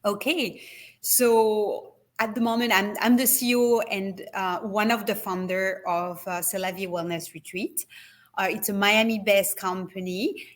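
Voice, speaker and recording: A woman's voice: mid-range voice, fairly even intonation, unhurried. A woman with a French accent, a guest talking in a casual interview over a video call, hesitant with many fillers and restarts. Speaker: guest, wellness retreat founder.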